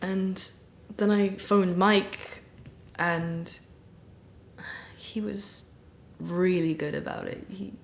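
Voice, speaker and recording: Actress as young woman, mid-range voice, guilt-ridden and nervy; halting, rambling confessional delivery for naturalistic drama monologue. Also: mid-range voice, fairly even intonation, vocal fry, reverberant. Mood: guilty, subdued. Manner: halting, rambling, blurting, with nervous laughter. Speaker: acting student.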